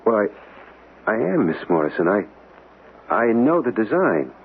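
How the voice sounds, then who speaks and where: An earnest, inquisitive gentleman of a radio mystery, with a fairly low, very expressive voice. He is courteous and a little hesitant, on a thin radio recording with faint hiss. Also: moderate pace, husky, exaggerated voice. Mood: polite, startled. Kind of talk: radio drama acting. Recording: tinny band-limited radio recording with hiss.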